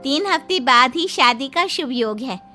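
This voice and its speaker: A woman playing a middle-aged mother-in-law in charge of a big cartoon family, measured and authoritative. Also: high voice, animated, sharp tone, soft background music, heavily acted. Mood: agreeable. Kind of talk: Hindi cartoon dialogue.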